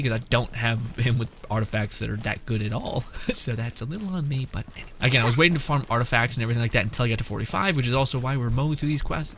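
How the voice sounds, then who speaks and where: A man's voice: medium pitch, a bit bright, a little hiss or hum. A man giving casual gameplay commentary as a content creator, rambling and dropping joking asides.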